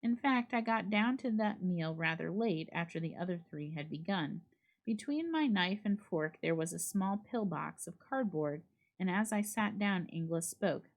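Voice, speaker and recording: A woman giving a measured, expressive dramatic reading of a literary horror story. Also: lowish voice. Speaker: library staff reader.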